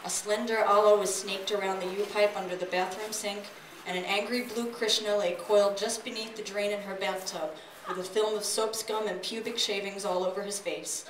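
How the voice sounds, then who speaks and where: An echoey, distant recording of a woman reading a comic story aloud on stage. She narrates in a storybook manner at a medium pitch. Faint noise sits behind her in the open pavilion. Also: steady tone, moderate pace. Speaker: author reading own work aloud. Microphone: distant audience camera. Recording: echoey pavilion, distant noisy camera audio.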